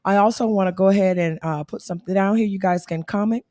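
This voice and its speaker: A woman in her thirties with a hoarse voice, co-hosting a casual livestream talk and laughing and teasing as she goes. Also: mid-range voice, fast, mellow, no echo, clean studio sound. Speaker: co-host.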